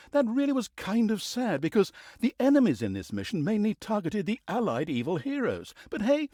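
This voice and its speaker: A sardonic male storyteller narrates a comic fantasy game with mock grandeur. His fairly high voice swings widely in pitch as he slips in teasing asides, and the sound is dry and close. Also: darkish tone, no echo. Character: wry, mocking male storyteller of an evil fantasy campaign.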